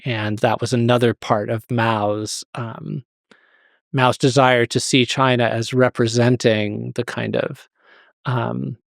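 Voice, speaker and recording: A man's voice: mid-range voice, little pitch movement, slow pace, creaky, dry recording, clean studio sound. A man speaking as an expert guest in an interview, explaining hesitantly and often rephrasing himself.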